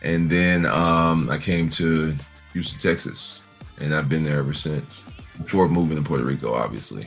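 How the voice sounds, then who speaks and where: Very deep-voiced young man, easygoing. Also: normal speed, creaky, faint music behind. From Nigeria. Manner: easygoing, laughs often, many fillers. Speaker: interview guest. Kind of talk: relaxed conversational interview.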